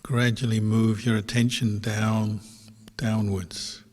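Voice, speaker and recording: Slow, low-voiced man guiding meditation, long reverb. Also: little pitch movement, a little mellow. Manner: measured, with long pauses between short phrases. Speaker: meditation teacher, Buddhist monk. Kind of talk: guided meditation instruction.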